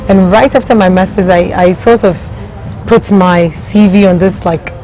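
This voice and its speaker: A woman's voice: mid-range voice, steady tone, normal speed, mellow, soft background music, low-quality recording. A woman from Ghana who grew up partly in Germany, talking casually as an interview guest in an anecdotal, conversational way. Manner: conversational, anecdotal, quoting others in her telling. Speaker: startup CEO guest.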